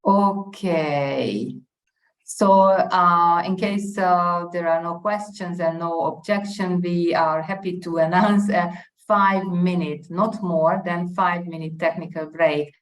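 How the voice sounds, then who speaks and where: A woman moderating a conference session, speaking formally and haltingly with many pauses. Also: fairly low pitch, fairly even intonation. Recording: echoey room.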